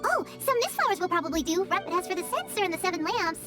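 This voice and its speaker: A woman voicing a small, chatty, excitable fairy girl with a squeaky childlike voice in a video game. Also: fast talker, music in the background. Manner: speaks of self in third person, exclamatory. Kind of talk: video game character acting.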